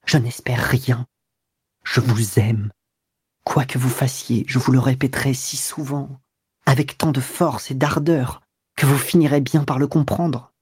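A man voicing an ardent young man who pleads his love in low, fervent tones. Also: steady tone, unhurried, slightly rough, no room sound. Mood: pleading.

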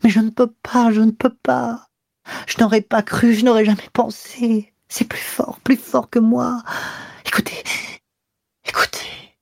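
A man's voice: high voice, fairly even intonation, moderate pace, darkish tone, breathy edge, no room sound, studio quality, pitched above the performer's own voice. A man voicing a middle-aged married woman who confesses a secret love in a breathless, tearful whisper. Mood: anguished.

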